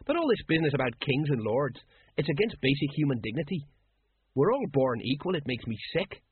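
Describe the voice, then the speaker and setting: A man's voice: on the high side, big pitch swings, fairly quick, breathy edge, dry recording, phone-quality sound, exaggerated voice. A man voicing a stolid middle-aged police sergeant who grumbles and blusters indignantly in an audiobook. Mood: indignant grumbling.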